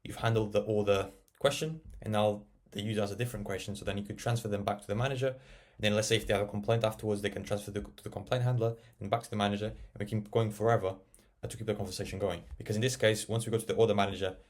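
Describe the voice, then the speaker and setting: A man narrating a casual software tutorial, explaining and thinking aloud as he works. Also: fairly low pitch, fairly even intonation, racing, hardly any pauses. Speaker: tech tutorial presenter.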